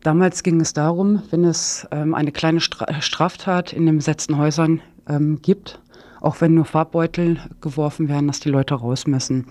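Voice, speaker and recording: A man's voice: on the high side, fairly even intonation, moderate pace, a little mellow, no echo. A man presenting a scripted radio news report, now and then stumbling over words as he reads.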